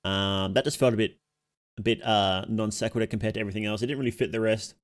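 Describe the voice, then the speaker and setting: A man's voice: lowish voice, fairly even intonation, fast talker, slight background noise. A man giving casual livestream commentary, thinking aloud as he goes, musing and questioning himself. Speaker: streamer reacting to horror videos.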